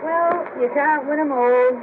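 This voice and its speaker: A woman's voice: high-pitched, unhurried, narrow, tinny audio. An actress playing a chirpy, bawdy, down-on-her-luck streetwalker of about thirty with a Liverpool accent, in a colloquial 1970s radio play. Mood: rueful, cheeky. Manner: colloquial, cheeky, earthy. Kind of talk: 1970s radio drama acting. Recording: patchy noisy cassette of 1970s radio broadcast.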